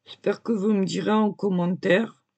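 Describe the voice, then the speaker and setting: A woman's voice: mid-range voice, fairly even intonation, moderate pace, darkish tone, breathy edge, lo-fi sound. A woman casually talking viewers through her drawing, hesitant and full of filler words, prone to coughing as she talks. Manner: hesitant, lots of "voilà" and "euh"; coughs and chokes easily when talking. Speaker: amateur artist showing a drawing.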